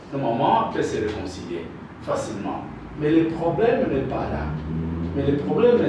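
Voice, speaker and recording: A man arguing a point off the cuff in a debate, insistent, questioning and repeating himself. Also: a little high. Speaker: audience participant in a debate. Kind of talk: impromptu debate contribution. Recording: very echoey room, distant mic, clear noise.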